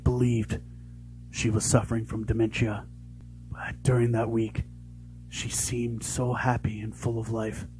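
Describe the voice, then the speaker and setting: A man playing a sorrowful, sympathetic small-town sheriff, giving a measured, solemn dramatic narration. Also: medium pitch, mellow, lightly acted, poor audio. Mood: subdued, sad.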